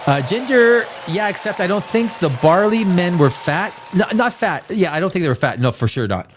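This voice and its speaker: A man hosting a casual live stream, his voice a little stuffed up from a cold. He rambles on in friendly chat with the viewers, repeating and restarting his sentences. His pitch rises and falls in a lively way. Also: normal speed, noisy background. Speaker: livestream host.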